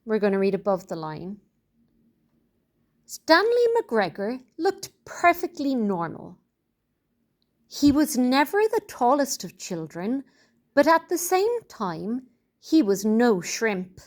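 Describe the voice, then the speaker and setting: A woman teacher reading a children's storybook aloud in a deliberate, expressive way with clear phrasing. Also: fairly high pitch.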